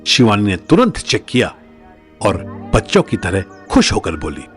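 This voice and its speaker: A man's voice: fairly low pitch, wildly swooping pitch, normal speed, faint music behind. A man narrating a scripted audio drama as a storyteller, in a dramatic style.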